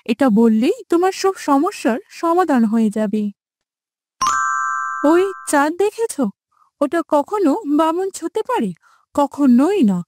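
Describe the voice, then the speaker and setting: A woman's voice: high voice, animated, measured pace, long pauses, a little mellow, no room sound. A woman voicing a jealous, scornful young princess in a cartoon fairytale, with a sarcastic, mocking manner.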